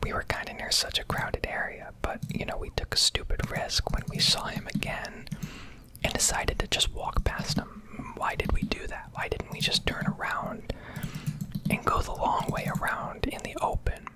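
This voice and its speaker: A young man speaks in a soft, unvoiced whisper, right up at the microphone. He rambles candidly in a conversational ASMR style, swearing casually as he goes. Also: normal speed, poor audio. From United States, Connecticut. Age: young adult. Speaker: ASMR streamer. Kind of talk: whispered ASMR rambling rant over gameplay.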